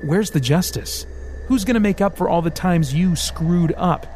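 A man voicing a smooth, sarcastic male devil who teases and threatens by turns in an audiobook. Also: high-pitched, normal speed, a little mellow, a little hiss or hum, voice pushed up, lightly acted. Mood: taunting. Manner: teasing, sarcastic, theatrical. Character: sly mocking male devil, smooth, menacing.

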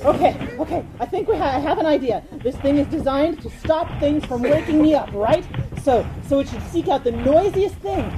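A woman's voice: high voice, expressive, normal speed, hardly any pauses. A woman playing a flustered, scheming young woman adventurer in a radio play, urgent and exasperated. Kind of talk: fan radio play acting. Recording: noisy, with crowd and ambience behind.

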